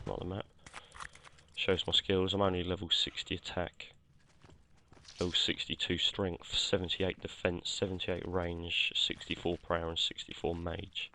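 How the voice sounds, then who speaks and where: A man, croaky from a cold, casually talking over his gameplay with lots of fillers and self-corrections. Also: deep voice, sharp tone, a little hiss or hum. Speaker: gameplay commentator. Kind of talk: casual game commentary.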